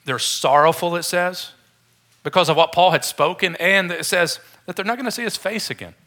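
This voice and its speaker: A man's voice: sits high, moderate pace, pushed and bright. A man preaching a conversational sermon, informal and joking with his congregation.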